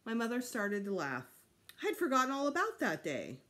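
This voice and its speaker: A middle-aged woman reading a children's novel aloud in an expressive storytime style. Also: on the high side, normal speed. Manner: expressive, acts out dialogue. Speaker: storytime reader. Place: small home room, seated indoors.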